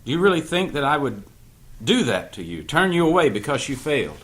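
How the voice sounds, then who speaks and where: Man playing gentle old mentor with lively intonation. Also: a little high, a little fast. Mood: gentle, caring. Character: gentle old painter and mentor, cafe owner. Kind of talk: audio drama table read. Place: cast read-through in a room. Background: music underscore.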